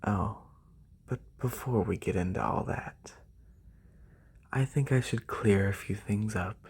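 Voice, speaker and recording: A man playing a haughty, demanding lamia noble in a soft-spoken ASMR roleplay, formal and condescending, with a breathy, husky voice close to a binaural microphone. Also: lowish voice, heavily acted. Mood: poised, condescending. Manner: condescending, formal, drawn-out delivery. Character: haughty wealthy lamia noble, demanding serpent-bodied employer.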